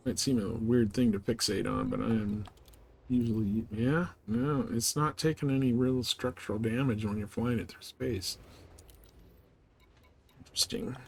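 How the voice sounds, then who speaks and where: Gravelly man casually streaming a game. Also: mid-range voice, steady tone, normal speed.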